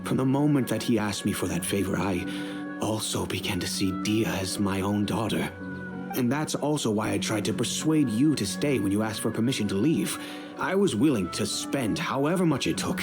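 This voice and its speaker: An actor playing a middle-aged, remorseful wealthy merchant and father in a video game, speaking in a measured, earnest, formal way. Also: on the low side. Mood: earnest, reflective. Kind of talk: dramatic video game dialogue.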